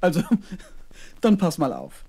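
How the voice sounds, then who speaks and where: A man's voice: on the high side, wildly swooping pitch, slow pace, darkish tone, husky, heavily acted. An actor playing a kindly doctor father, patient and courteous, in a 1970s children's radio play. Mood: patient, amused. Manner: patient explaining, playfully courteous. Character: patient, kindly doctor father indulging his little daughter.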